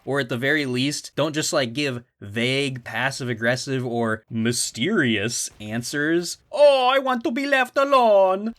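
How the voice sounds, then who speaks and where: A man's voice: mid-range voice, a bit bright. A man delivering a sarcastic, exasperated commentary rant with casual profanity. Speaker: commentary YouTuber.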